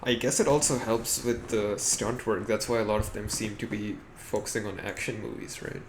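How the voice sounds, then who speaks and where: A man's voice: fairly low pitch, fairly even intonation, moderate pace. A young man co-hosting a podcast, giving his opinions in a casual, conversational, musing way. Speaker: podcast co-host. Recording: clearly noisy amateur podcast audio.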